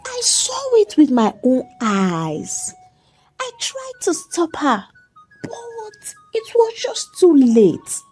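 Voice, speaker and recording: A woman's voice: very high-pitched, huge pitch swings, slow, darkish tone, soft background music, pitched above the performer's own voice, heavily acted. A woman voicing a distraught, guilt-ridden young wife in a dramatic story, tearful, trembling and pleading. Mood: desperate, crying.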